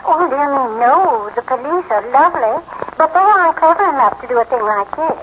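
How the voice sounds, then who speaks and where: High-voiced, fluttery old society lady. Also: animated, fairly quick. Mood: pleading. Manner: polite, fluttery, dainty phrasing. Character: genteel, sweetly earnest seventy-year-old society lady. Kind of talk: 1940s radio acting. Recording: muffled, noisy old radio recording.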